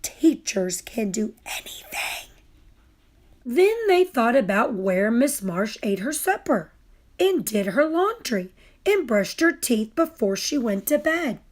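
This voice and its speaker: A woman reads a storybook aloud to children. Her voice is fairly high and her pace is slow and deliberate, with expressive delivery. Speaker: storybook reader.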